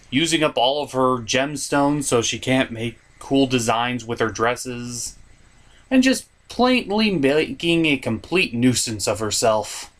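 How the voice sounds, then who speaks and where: A man's voice: medium pitch, fairly bright tone. A man hosting a scripted comedic episode review with a sarcastic delivery. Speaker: reviewer, host.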